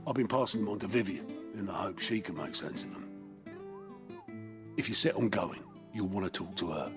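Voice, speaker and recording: A man in a fantasy game, voiced by an actor, low in pitch with music behind him. He briefs an ally in a plain, matter-of-fact, practical manner. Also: brisk, natural voice. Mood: businesslike. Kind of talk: video game cutscene acting.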